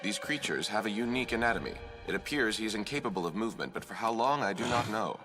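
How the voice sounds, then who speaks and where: A male actor plays a composed alien science officer from a sci-fi game. He speaks quickly in a lowish voice, with formal, exact phrasing and cool logic, over a prominent musical score. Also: animated, over music, lightly acted. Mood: detached. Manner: formal, measured, precise diction. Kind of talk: video game cutscene acting.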